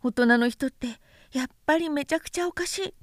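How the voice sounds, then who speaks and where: A small storybook boy prince, as a woman narrator puts him on, speaks in a high voice. He is innocent and curious, with a persistent questioning way of talking. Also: a little fast, no room sound, clean studio sound, close to an everyday voice. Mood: puzzled.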